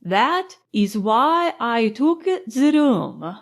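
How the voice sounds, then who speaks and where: A woman's voice: fairly high pitch, very animated, drawn-out words, heavily acted. A woman voicing a dapper young foreign count in an audiobook, speaking careful English with a foreign accent, politely and serenely. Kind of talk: audiobook character voice.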